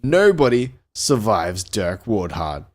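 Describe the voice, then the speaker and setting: A man's voice: on the low side, sing-song, slow, a little mellow, exaggerated voice. Man acting out a pulp action hero, secret agent, cocky, pompous, dramatic declarations, storybook read-aloud. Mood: smug, dramatic.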